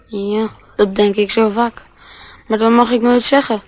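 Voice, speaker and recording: A woman's voice: a little high, flat delivery, unhurried, darkish tone, lightly acted. A woman acting an innocent, wide-eyed young schoolboy, eager and full of wonder, in a radio play. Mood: curious.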